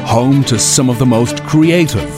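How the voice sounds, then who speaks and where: A man's voice: medium pitch, unhurried, over loud music. A man reading a scripted comic show intro in a dry, wry way.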